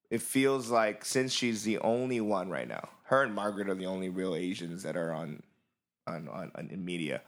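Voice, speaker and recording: Animated man in casual chat. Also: on the low side. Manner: animated, quick bursts, many fillers.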